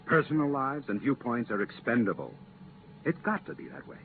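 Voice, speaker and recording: An actor playing an earnest, regretful but firm commission official in a 1950s radio drama, speaking deliberately and emphatically. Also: male voice, medium pitch, big pitch swings. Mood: earnest, insistent. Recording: muffled, noisy 1950s radio transcription.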